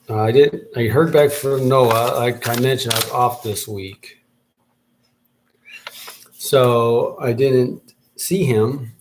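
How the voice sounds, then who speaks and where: Guy rambling thru a casual update on his own show, mid-pitch, thinking out loud as he goes. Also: low-quality recording. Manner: rambling, thinking aloud with restarts. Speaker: podcast host and rap artist.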